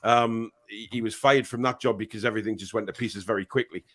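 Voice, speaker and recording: A man's voice: fairly low pitch, fairly even intonation, fast, fairly bright tone. A man hosting a casual live-stream chat, talking with plenty of fillers and restarts. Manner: hesitant, many fillers and restarts. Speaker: podcast host.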